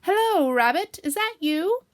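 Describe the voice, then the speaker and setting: A woman voicing a friendly, naive, greedy male toy bear in a children's storybook, speaking with slow, drawn-out emphasis. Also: sits high, sing-song, voice pushed up. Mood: puzzled. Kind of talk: children's storybook character reading.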